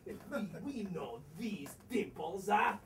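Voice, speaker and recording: A man's voice: sits high, big pitch swings, fairly bright tone, exaggerated voice. A male student actor playing a blustering, hot-tempered landowner who scorns women, grumbling and exclaiming in a comic stage play. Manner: blustering, exclamatory, grumbling to himself with halting outbursts. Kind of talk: theatrical stage acting of a comic one-act play. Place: theater stage, recorded from a distance. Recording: distant stage recording with faint noise.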